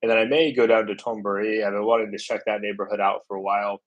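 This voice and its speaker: A man's voice: fairly low pitch, fairly even intonation, fast, lo-fi sound. A man from the United States chatting casually on a travel livestream, thinking aloud with lots of fillers and restarts. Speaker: travel vlogger.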